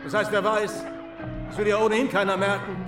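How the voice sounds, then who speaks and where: A man playing a scolding, sarcastic parent who calls out loudly in a radio drama. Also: high-pitched, level tone, a little fast, reverberant, distant mic, faint music behind. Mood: exasperated. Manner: shouting, sarcastic. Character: scolding, sardonic parent of a small boy.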